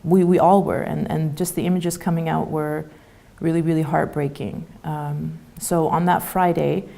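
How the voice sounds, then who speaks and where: Low-voiced woman with gravelly fry. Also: level tone. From Canada. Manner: soft-spoken, halting, many fillers. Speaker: interview guest. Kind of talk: conversational interview.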